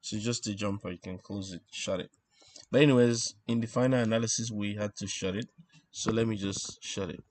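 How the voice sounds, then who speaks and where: A man giving an informal technical lesson as he draws, explaining step by step in a halting way and thinking aloud. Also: on the low side, steady tone, a little mellow. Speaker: instructor presenting an electronics tutorial. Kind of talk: informal technical lesson, talking while drawing.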